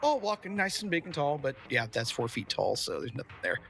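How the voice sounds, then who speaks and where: A man's voice: on the high side, sing-song, a little fast, fairly bright tone. A man chatting casually as a player in a tabletop roleplaying game.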